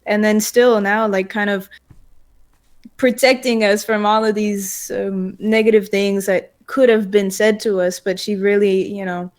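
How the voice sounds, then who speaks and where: A woman's voice: mid-range voice, little pitch movement, measured pace. Agreeable young woman interview guest. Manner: agreeable, backchannels often, uses "um" and "I would say". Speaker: guest, sim racer. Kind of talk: casual interview chat.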